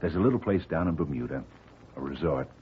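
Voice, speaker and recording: Slick middle-aged lawyer, deep but bouncy voice, tinny old radio. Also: male voice, lively intonation, quick pace. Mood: tender. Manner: genial, smooth, chatty on the phone. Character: smooth middle-aged male lawyer, secretly scheming. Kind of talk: 1940s radio acting. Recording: tinny faint-hiss old radio recording.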